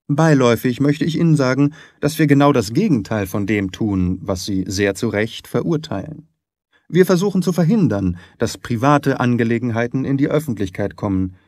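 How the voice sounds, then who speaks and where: A man playing a composed, shrewd gentleman detective in an audiobook, polite, precise and insistent. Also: medium pitch, no echo, studio quality, lightly acted. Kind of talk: audiobook character acting.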